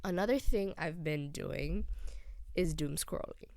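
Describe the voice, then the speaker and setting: A young woman hosting a relaxed solo podcast, rambling casually with frequent ums; English is not her first language. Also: sits low, measured pace, creaky, no room sound, clean studio sound. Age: young adult. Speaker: podcast host.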